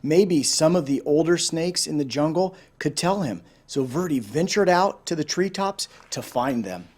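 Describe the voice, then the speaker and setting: Male storyteller, somewhat high voice, informal read-aloud for children, speaking straight to listeners. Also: lively intonation, normal speed, a little mellow. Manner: informal, addressing listeners directly. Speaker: volunteer storyteller.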